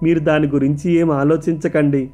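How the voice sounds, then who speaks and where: A man voicing a humble, gentle orphaned shop worker in an animated moral story, with music behind him. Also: fairly high pitch, brisk, close to an everyday voice. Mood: gentle, reassuring. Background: background music.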